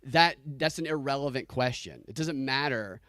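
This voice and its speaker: A man's voice: mid-range voice, animated, moderate pace, a bit bright, no room sound, clean studio sound. A man with a nasally voice hosting a casual reaction chat, blunt and sarcastic, swearing freely. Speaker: podcast host.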